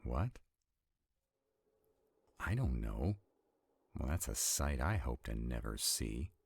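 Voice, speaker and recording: A man playing an arrogant, stubborn vampire in a roleplay audio drama, with a deep, gravelly, husky voice and a haughty, deliberate delivery. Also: sing-song, dry recording, heavily acted. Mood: irritated, demanding. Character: arrogant, stubborn male vampire, irritated and skeptical.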